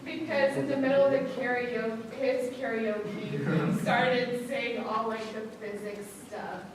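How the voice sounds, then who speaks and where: A woman in an audience speaks casually in a fairly high voice. She is away from the mic in a very echoey, noisy room. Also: fairly even intonation, unhurried, far from the mic. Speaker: audience member. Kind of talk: casual spontaneous remark.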